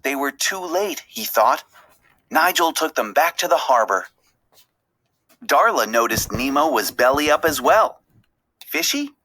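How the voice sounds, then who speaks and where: A woman narrating a children's story aloud, expressively, with deliberate, dramatic phrasing. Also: extremely low pitch, animated, a bit bright.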